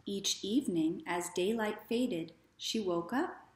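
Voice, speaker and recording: A woman in her thirties reading a children's story aloud in a deliberate, expressive way. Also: medium pitch. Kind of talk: children's read-aloud narration. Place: small living room, indoors. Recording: echoey living room.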